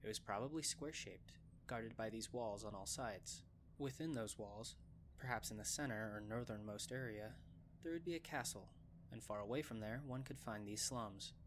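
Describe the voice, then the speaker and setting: A man's voice: lowish voice, normal speed, a little hiss or hum. A man narrating a scripted story in an audiobook style.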